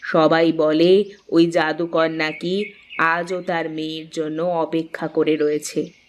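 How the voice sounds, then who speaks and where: A woman playing a grave, cautioning mother-in-law in a cartoon story, speaking in a measured, solemn storytelling way. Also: low voice, little pitch movement, a little hiss or hum. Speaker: narrator and voice actor. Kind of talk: scripted cartoon story narration.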